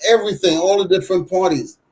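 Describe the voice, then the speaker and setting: A man's voice: sits high, fast talker, darkish tone, low-quality recording. A man from Trinidad with a mixed Trinidadian and American accent, chatting casually and filling his talk with "you know". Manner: rambling, leans on "you know". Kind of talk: casual chat.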